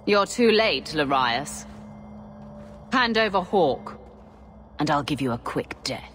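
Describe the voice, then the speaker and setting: Taunting, animated gang enforcer. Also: female voice, deep voice, slow pace, a little hiss or hum, exaggerated voice. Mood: scornful, threatening. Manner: mocking, threatening. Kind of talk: fantasy video game acting.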